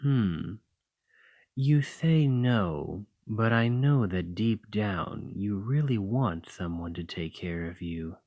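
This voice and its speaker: A man playing a slow, teasing dragon lover. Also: fairly low pitch, animated, no echo. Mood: tender. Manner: soft, playful and coaxing. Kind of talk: ASMR roleplay.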